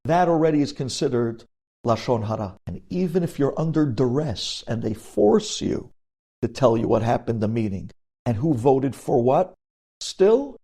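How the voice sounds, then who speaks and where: A man speaks as a rabbi delivering a religious lecture. His voice is dark and mellow, without sharp edges. He drives points home with emphasis and repetition, dropping Hebrew terms into his sentences. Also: mid-range voice. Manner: emphatic, repeats key phrases for weight; mixes Hebrew terms into English. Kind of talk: sermon-like Torah lecture.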